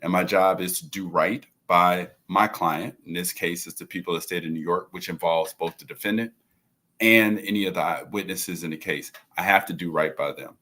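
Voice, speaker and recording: Deep-voiced guy, prosecutor on a panel, calm and earnest. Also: normal speed. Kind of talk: panel discussion.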